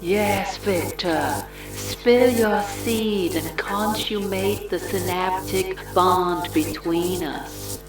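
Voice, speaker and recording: A woman's voice: mid-range voice, extremely slow, hardly any pauses. A woman playing a bitter, lustful and commanding mad scientist villainess in a theatrical audio play. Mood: seductive command. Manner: theatrical, menacing, coaxing. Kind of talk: melodramatic audio drama. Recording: echoey, distant, loud music behind.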